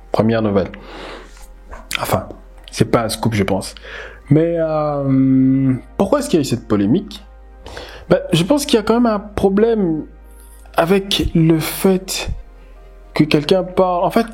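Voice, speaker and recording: Very husky man, animated commentary. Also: mid-range voice. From DR Congo. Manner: animated, emphatic, repeats words as he thinks aloud. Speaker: language teacher. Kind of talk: casual commentary video.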